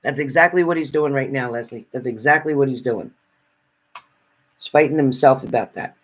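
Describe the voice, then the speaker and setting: A man's voice: mid-range voice, normal speed. A man hosting a casual live question-and-answer stream, giving spirit readings and chatting with viewers.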